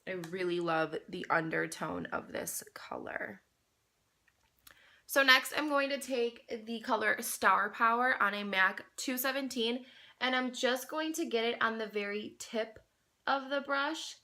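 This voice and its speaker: Bright-voiced woman with a nasal cold. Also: a little high, a little slow. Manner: chatty, addresses viewers as you guys. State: congested with a cold, slightly nasal. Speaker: beauty vlogger. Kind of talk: casual makeup tutorial chat.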